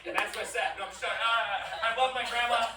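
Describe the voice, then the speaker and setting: A man's voice: extremely high pitch, steady tone, fairly quick, pushed and bright, some chatter behind. A young man performing a rambling, self-deprecating stand-up comedy set, full of fillers and restarts, in front of a live audience. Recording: live comedy club, roomy and distant.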